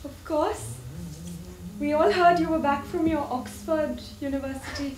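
A woman's voice: sits high, normal speed, a little mellow, natural voice. A woman acting a teasing, spirited young village woman in love in a stage play. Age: young adult. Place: theatre stage before an audience. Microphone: far camera. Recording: distant, echoey theatre recording with noise.

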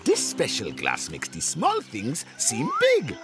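A woman tells a story for very young children in a highly animated voice. Her phrasing is slow, simple and deliberate, with clear music playing behind her. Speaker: narrator of a preschool cartoon. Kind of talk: preschool storytelling narration.

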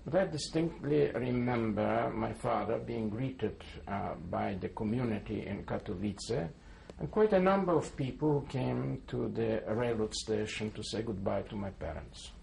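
A man's voice: fairly low pitch, animated, measured pace, gravelly, background noise. A man in his seventies, born in Poland, giving a careful oral history testimony and spelling names letter by letter. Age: 70s. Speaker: interviewee.

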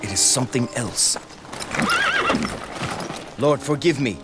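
A young warrior of ancient China, played by a male actor in a video game drama. He is loyal and earnest, with a mid-pitched voice and solemn, declamatory phrasing. Music plays clearly behind him. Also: slow pace, close to an everyday voice. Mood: solemn, resolute. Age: young adult. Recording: background music under dialogue.

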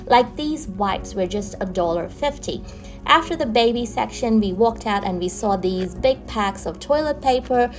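A woman's voice: mid-range voice, lively intonation, normal speed, soft background music. A young adult woman narrating a casual shopping tour as a vlogger, chatty and upbeat as she points out products and prices. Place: in a car on the motorway.

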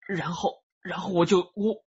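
An actor playing a hot-headed, guilt-ridden young loafer who stammers, in a Chinese crime radio drama. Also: male voice, high-pitched, steady tone, a little slow, husky, muffled recording, exaggerated voice. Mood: panicked, remorseful.

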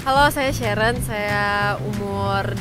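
A teenage girl speaks slowly and hesitantly in a short interview. Loud music sits under her voice throughout. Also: medium pitch. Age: teens.

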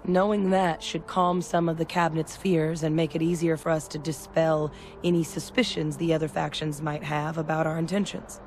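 A woman playing a candid, measured military veteran in a video game, speaking deliberately. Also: low voice, flat delivery, darkish tone, a little hiss or hum. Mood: measured, reassuring. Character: measured, candid female soldier-scientist, war veteran.

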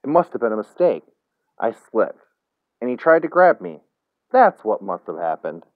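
A man's voice: lowish voice, sing-song, moderate pace, no echo, narrow, tinny audio. A man voicing a naive young city man in a story, earnest, easily upset and stammering in protest. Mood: shivering, bewildered.

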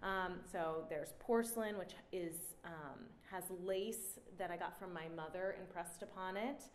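Artist in her 30s, low-pitched, reflective, pausing often; roomy gallery, faint hiss. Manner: relaxed, reflective, many "um" pauses. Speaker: artist giving a talk. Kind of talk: presenting her artwork with slides. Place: indoor gallery room before an audience. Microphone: standing mic on a stand.

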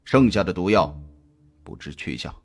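A man's voice: deep voice, expressive, normal speed, soft background music, voice pushed down. A man voicing a skeptical male police team leader in an audiobook, with a curt, dry delivery. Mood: matter-of-fact.

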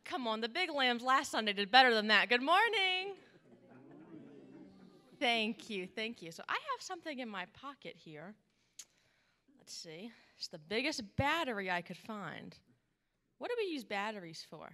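A young woman giving a children's message in a church sanctuary, animated and encouraging, asking questions into a handheld microphone. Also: on the high side, normal speed, pauses often. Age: young adult. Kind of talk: children's sermon, interactive talk. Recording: reverberant church hall, live PA.

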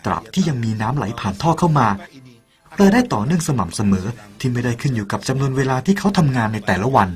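Guy reading a parable like a pitch, talks right to you, soft music behind. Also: very animated, fast. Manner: earnest, persuasive, addresses the listener directly. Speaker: narrator. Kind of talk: scripted narration of a parable.

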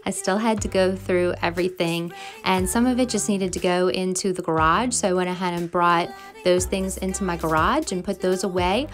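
Lower-pitched American woman narrating over music. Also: fairly even intonation, normal speed. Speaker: home and cleaning vlogger. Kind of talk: friendly scripted voiceover narration. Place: voice-over recorded in a closet at home. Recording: music playing under the voice. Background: background music.

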